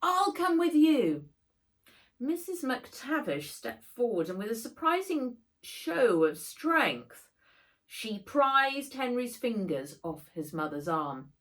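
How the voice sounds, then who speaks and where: A woman narrates a children's audiobook. She takes her time over each phrase, and her pitch moves in a lively, expressive way. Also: slow pace. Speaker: author reading own book.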